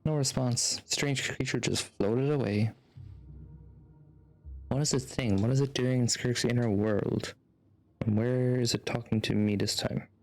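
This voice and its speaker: A man's voice: medium pitch, fairly even intonation, a little slow, low-quality recording. A man streaming a game, reading its text aloud and adding casual reactions.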